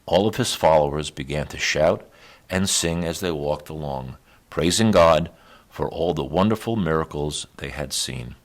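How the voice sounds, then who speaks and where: A man reading a rhymed children's story aloud as a storyteller, with measured, expressive rhythm. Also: extremely low pitch. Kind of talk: children's read-aloud, rhymed scripted narration.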